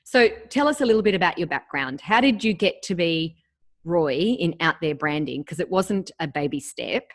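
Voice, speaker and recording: A woman's voice: fairly low pitch, lively intonation, moderate pace. A woman, hosting an interview and asking long, emphatic questions. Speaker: interviewer, host.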